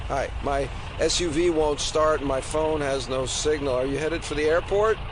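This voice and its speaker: A man's voice: fairly high pitch, moderate pace, natural voice. A man playing a casual, polite stranded traveller looking for a ride in an audio drama. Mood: calling out. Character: stranded, friendly male traveller seeking a ride. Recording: clear outdoor vehicle and road noise.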